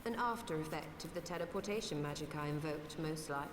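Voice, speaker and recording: An actress playing a composed, resolute female mage scholar in a fantasy game, speaking in measured, formal archaic phrases. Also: deep voice, echoey room, big-room sound, distant mic, noisy background, natural voice. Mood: calm resolve. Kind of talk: scripted fantasy game acting.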